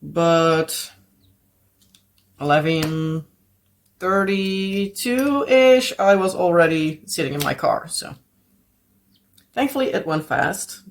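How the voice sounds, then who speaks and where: A woman's voice: on the low side, takes it slowly, long pauses, slight background noise. A Dutch woman with a Dutch accent chatting casually at home as a craft vlogger, rambling and searching for English words with frequent little laughs. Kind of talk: casual chat while crafting.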